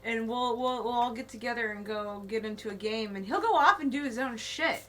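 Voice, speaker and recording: A woman's voice: fairly high pitch, brisk, fairly bright tone, slight background noise. A woman co-hosting a podcast, chatting casually and teasing with easy laughter. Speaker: podcast co-host. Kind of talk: casual chat.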